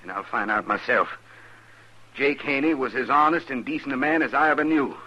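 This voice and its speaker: Sharp, menacing western gunman. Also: medium pitch, fairly quick, dull audio. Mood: vengeful. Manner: threatening. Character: vengeful, menacing gunman, hard and defiant. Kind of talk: old-time radio western acting.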